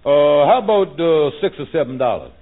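A scheming, smooth-talking lodge boss from a 1940s radio comedy. He wheedles in a folksy, fairly high voice that swings up and down with mock concern. The sound is narrow and tinny, like an old broadcast. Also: lively intonation, fairly quick. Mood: businesslike. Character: scheming, smooth-talking male lodge leader, a fast-talking conniver.

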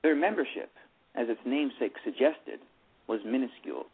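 A man's voice: medium pitch, expressive, moderate pace, vocal fry, husky. A man narrating a story, reading aloud and often stumbling over and repeating words. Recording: tinny phone line with faint music.

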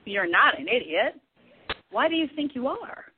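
Animated, blunt big-hearted sister played by a woman. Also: a little high, moderate pace, a little hiss or hum. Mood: concerned, probing. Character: blunt, teasing, concerned sister of the actress. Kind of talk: radio play acting. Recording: thin phone-like audio, dry.

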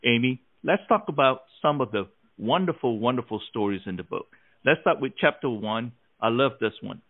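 A man's voice: medium pitch, lively intonation, normal speed, dry recording. Guy from malaysia hosting, keen and laughing a lot, dry phone-line sound. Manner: enthusiastic, laughs often. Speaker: host. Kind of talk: conversational interview.

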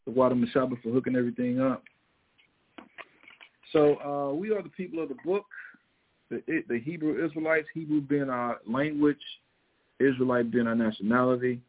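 A man's voice: mid-range voice, normal speed, pauses often, breathy edge. A man from the American Midwest hosting a show, reading the news and commenting on it in a casual, emphatic way, often speaking straight to his listeners. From Missouri, American Midwest. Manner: conversational, emphatic, keeps addressing listeners directly. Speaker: show host and priest. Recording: tinny phone-like sound.

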